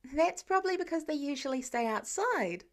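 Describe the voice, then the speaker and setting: A woman's voice: high-pitched, animated, moderate pace, pitched above the performer's own voice. A woman voicing an eager, hopeful boy who pleads persistently, in an audiobook story. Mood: persuasive. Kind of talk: audiobook character voice.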